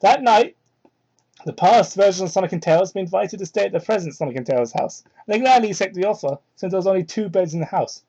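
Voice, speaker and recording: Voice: animated, fast talker, dark tone, breathy edge. A young adult reading fan fiction aloud in a mock-dramatic way, with sweary, sardonic asides, on a cheap microphone. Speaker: reader and commentator. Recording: muffled low-fidelity cheap microphone.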